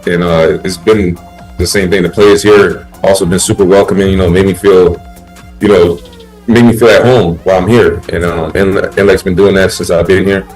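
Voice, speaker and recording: Deep-voiced laid-back man. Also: moderate pace, faint music behind, poor audio. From Haiti. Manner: relaxed, rambling, laid-back with many fillers. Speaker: basketball player guest. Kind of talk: casual interview chat.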